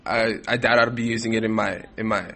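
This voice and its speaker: A seventeen-year-old boy, a student, speaking haltingly with "like" fillers. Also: fairly low pitch, steady tone, gravelly, bright, forceful tone. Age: teens. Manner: halting, uses "like".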